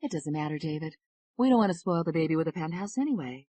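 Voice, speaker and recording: A woman's voice: low-pitched, lively intonation, quick pace, warm tone. A woman voicing a playful, supportive young wife who teases affectionately. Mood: teasing.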